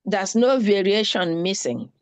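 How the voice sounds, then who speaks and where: Slow, earnest woman making an appeal. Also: mid-range voice, big pitch swings, a little mellow, no room sound. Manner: slow, pleading, repeats for emphasis. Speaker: town hall participant. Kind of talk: earnest spoken appeal at an online meeting.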